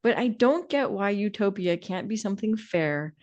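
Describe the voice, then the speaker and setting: A woman's voice: medium pitch, expressive, moderate pace, a little mellow, dull audio. A middle-aged woman co-hosting a podcast in a conversational way, with a wry, emphatic and opinionated manner. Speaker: podcast co-host.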